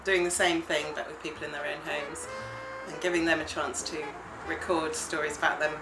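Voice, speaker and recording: Woman coordinator, low voice, earnest, roomy room, music bed. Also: flat delivery, brisk. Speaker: project coordinator. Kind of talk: documentary interview.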